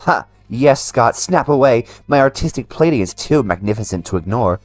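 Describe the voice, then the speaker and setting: A man's voice: medium pitch, expressive, moderate pace, natural voice. A man voicing a pretentious, fussy young male vampire, theatrical and easily offended, for a dating game. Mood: pompous. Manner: pompous, theatrical, indignant.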